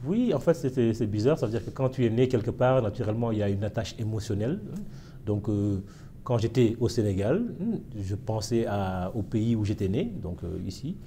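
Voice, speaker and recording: A man with a low, mellow voice, giving an interview in a thoughtful, hesitant way, his sentences full of small fillers. A faint hiss sits under the recording. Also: fairly quick. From Senegal. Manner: reflective, with many fillers and hesitations. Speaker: interviewee, historian. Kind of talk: casual interview.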